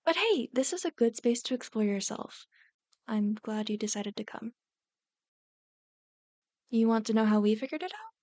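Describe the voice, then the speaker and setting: A woman's voice: a little high, lively intonation, moderate pace, long pauses, a little mellow, no echo, close to an everyday voice. A woman playing a teasing, welcoming young non-binary person in a scripted audio roleplay, easygoing and fond of jokes. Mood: supportive. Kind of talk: scripted ASMR roleplay acting.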